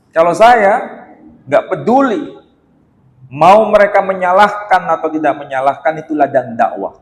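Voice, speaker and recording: An Indonesian man giving a religious lecture in a mosque, animated and teasing, with rhetorical questions. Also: on the high side. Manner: chants Quran verses in a slow melodic recitation. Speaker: Islamic lecturer. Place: mosque hall. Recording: echoey mosque hall.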